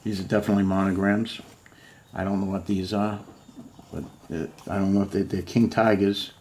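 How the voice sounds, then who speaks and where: Low voiced guy at home flipping thru books for the camera, lots of ums, bit of hiss. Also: level tone, normal speed, darkish tone. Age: middle-aged. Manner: rambling, musing aloud, many fillers. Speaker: model kit collector showing books. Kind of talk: casual show-and-tell vlog. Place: home room full of model kit boxes.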